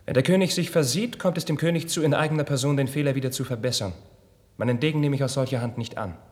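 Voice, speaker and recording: An actor playing a proud, emotional young prince in a 1950s German radio drama, with intense theatrical declamation. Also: male voice, mid-range voice, quick pace, darkish tone, big-room sound, natural voice. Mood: proud, defiant.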